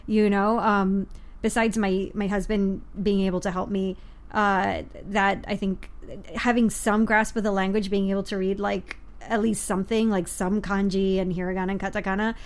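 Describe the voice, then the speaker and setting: A woman from Miami chatting casually about learning languages, with many fillers and restarts. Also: mid-range voice. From United States (Miami). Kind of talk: casual chat.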